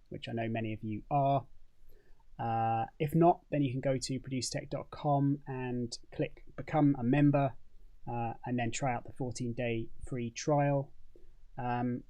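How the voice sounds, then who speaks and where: Chatty British man hosting a livestream. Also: mid-range voice. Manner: relaxed, chatty, with frequent fillers and restarts. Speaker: host. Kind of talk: casual livestream chat. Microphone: condenser mic.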